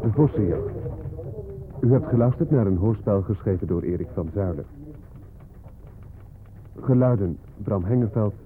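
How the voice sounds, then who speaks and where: A male radio drama announcer with a fairly low voice. He reads scripted credits on a narrow, tinny old recording. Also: expressive, moderate pace, pauses often.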